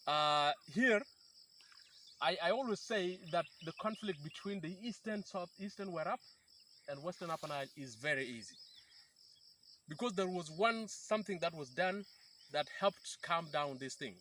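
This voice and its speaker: A man's voice: on the high side, expressive, moderate pace, pushed and bright, slight background noise. A man in his thirties from South Sudan, explaining earnestly as a guest in an outdoor interview by a river, often restarting his sentences. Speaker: peace activist guest. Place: outdoors by a river in rural bushland.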